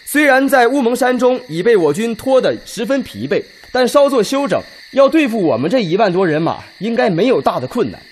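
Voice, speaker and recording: A man playing a forceful, sceptical Red Army officer in a radio drama, with emphatic, declamatory delivery. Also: very high-pitched, sing-song, brisk, hardly any pauses, slight background noise. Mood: urgent, doubtful. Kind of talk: Chinese radio drama acting.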